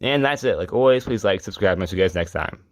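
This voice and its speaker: Guy with a lowish voice doing informal retrospective narration, dry close sound. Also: animated, quick pace, dry recording. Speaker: host of a character retrospective video series.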